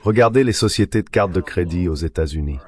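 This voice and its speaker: A man's voice: low-pitched, expressive, quick pace. A man delivering an explanatory voice-over translation for an interview guest. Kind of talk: dubbed interview commentary, explanatory.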